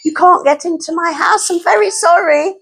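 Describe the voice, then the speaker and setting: Woman doing a slow deep-voiced rat husband. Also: gravelly, fairly bright tone, faint music behind, heavily acted. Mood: sorry. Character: small male rat, husband, bumbling and polite. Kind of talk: storytelling to children.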